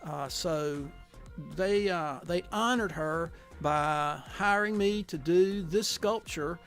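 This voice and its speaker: A man's voice: sits high, slow pace, fairly bright tone, soft background music. A sculptor talks children through his outdoor sculptures in a folksy, chatty way, full of restarts and fillers. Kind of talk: outdoor walking tour talk to children.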